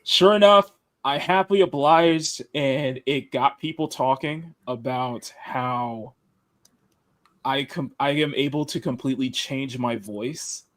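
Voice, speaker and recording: A man with a Southern accent hosting a casual live show, talking in a deep radio-style tone, rambling, restarting sentences and laughing often. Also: slow pace, a bit bright, no room sound. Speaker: livestream host.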